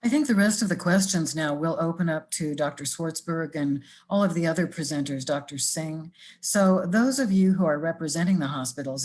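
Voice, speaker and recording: A woman with a very low voice moderates a webinar panel over a video call. She speaks politely and in a measured way, with some hesitant fillers. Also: darkish tone, slight background noise.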